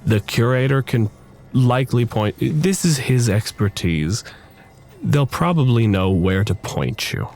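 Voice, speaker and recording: A man's voice: medium pitch, lively intonation, unhurried, a little mellow. A man improvising tabletop game narration as game master in a casual, wry, conversational way.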